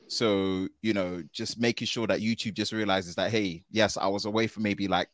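A man with a fairly low voice talks about his work as a tech creator, animated and slangy, often tacking "man" onto his phrases. The recording is dry, with almost no room sound. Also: moderate pace, dry recording. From United Kingdom. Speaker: podcast guest, tech video creator. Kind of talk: casual podcast conversation.

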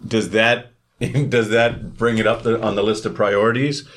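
A man's voice: lowish voice, level tone, unhurried, fairly bright tone. A man hosting a casual podcast interview, asking questions informally and thinking aloud.

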